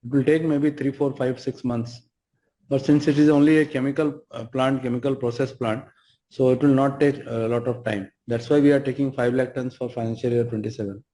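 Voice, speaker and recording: A man with an Indian accent answering as a company executive on an earnings call, speaking haltingly and repeating phrases. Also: mid-range voice, steady tone, dark tone, low-quality recording. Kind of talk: spontaneous business answers on a conference call.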